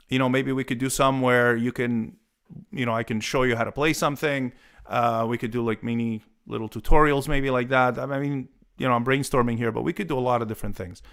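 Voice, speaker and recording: A man's voice: mid-range voice, vocal fry, fairly bright tone, clear, resonant tone. A man in his forties, born in Italy with Italian as his first language, chatting casually on a live stream with many fillers and restarts. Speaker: guitar channel host.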